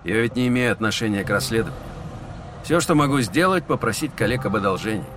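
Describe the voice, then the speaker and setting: A patient police lieutenant. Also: male voice, fairly low pitch, lively intonation, fairly bright tone, slight background noise. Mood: explaining. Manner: measured, explanatory. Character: weary young male police lieutenant, patient. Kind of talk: audio drama acting.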